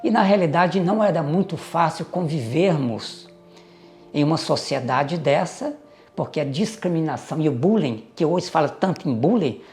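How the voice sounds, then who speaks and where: An older man tells his family's story with a gravelly edge to his voice. He speaks in a measured, reflective way, pausing and hesitating as he remembers. Faint music plays behind him. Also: a little high, darkish tone. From Minas Gerais, Brazil. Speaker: interviewee recounting family history. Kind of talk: reminiscing oral history.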